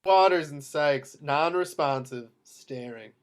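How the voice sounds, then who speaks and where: A man's voice: on the high side, slow pace. A man narrating screenplay action in clipped descriptive phrases at an amateur table read. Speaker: narrator reading stage directions.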